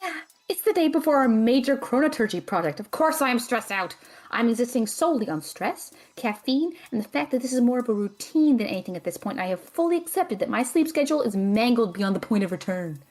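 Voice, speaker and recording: A woman playing a stern, stressed young female magic student in an audio drama, with precise, deadpan, teasing delivery. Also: fairly high pitch, a little fast. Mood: stressed, bossy. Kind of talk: audio drama acting, dry banter.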